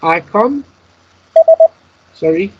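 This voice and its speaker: A man's voice: high voice, lively intonation, very slow. A man giving step-by-step spoken instruction as a teacher, announcing each item word by word over an internet call. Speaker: Morse code practice instructor. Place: Skype call. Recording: dull lo-fi internet call, clear noise.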